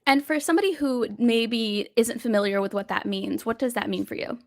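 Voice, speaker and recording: A woman hosting a podcast interview, chatty and enthusiastic, with quick reactions and friendly, casually worded questions. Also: on the high side. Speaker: podcast host.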